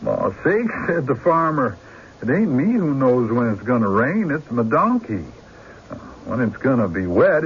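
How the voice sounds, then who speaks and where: Animated folksy storyteller. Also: male voice, medium pitch. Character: folksy man telling a tall tale, drawling farmer voices. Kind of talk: old-time radio drama acting. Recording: muffled, faintly noisy radio broadcast.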